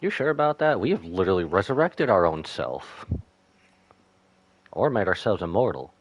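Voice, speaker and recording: A man's voice: deep voice, big pitch swings, normal speed, no room sound, lo-fi sound. A man giving casual live reactions as a game streamer, slangy and irreverent, talking back to the game.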